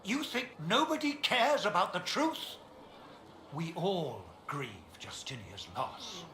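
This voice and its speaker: A man's voice: high voice, sing-song, slow pace, bright, forceful tone, full character voice. An actor playing a pompous, scornful male cleric in a fantasy video game, argumentative and indignant. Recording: echoey space, faint ambient noise.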